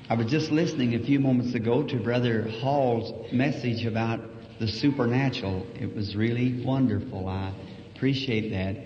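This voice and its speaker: A man preaching a sermon in a church, humble and folksy, soft-spoken, with a slightly hoarse voice. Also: medium pitch. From United States. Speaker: evangelist preacher. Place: church auditorium. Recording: old echoey hall recording, dull and hissy.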